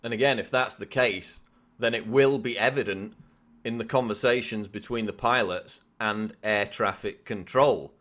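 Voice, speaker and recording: A man's voice: lowish voice, normal speed, a bit bright. A man delivering political news commentary in an insistent, questioning manner. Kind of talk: scripted news commentary to camera.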